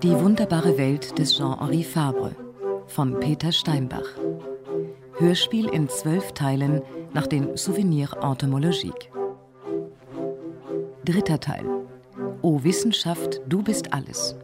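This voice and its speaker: A woman's voice: low-pitched, slow, long pauses. A woman announcing titles for a radio drama in a scripted style. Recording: loud music behind announcement.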